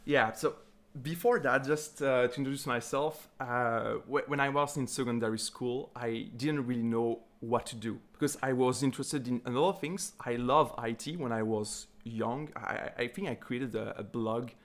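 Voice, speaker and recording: A man's voice: mid-range voice, fairly even intonation, moderate pace. Belgian French man, chatty guest. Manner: chatty, laughs easily, many restarts. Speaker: guest software developer. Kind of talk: casual interview chat.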